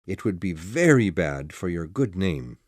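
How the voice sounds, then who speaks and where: A calm, businesslike lawyer, voiced by a man, speaking in a formal, measured way. His delivery is slow, his pitch moving widely. The recording is dry and close. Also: no room sound, exaggerated voice. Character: calm, businesslike middle-aged male lawyer. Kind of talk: audiobook dialogue.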